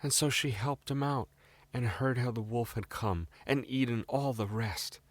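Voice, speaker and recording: A man's voice: medium pitch, unhurried, no room sound. A man with a British accent reading a scripted fairy tale as a storyteller.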